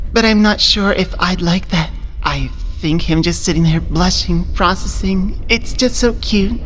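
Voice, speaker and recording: A woman playing a confident, dominant elf coworker who flirts adoringly, soft-spoken in a close-miked ASMR romance roleplay. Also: on the low side, slight background noise, lightly acted. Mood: smitten, gushing. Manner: teasing, doting, self-assured. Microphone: close binaural studio microphone.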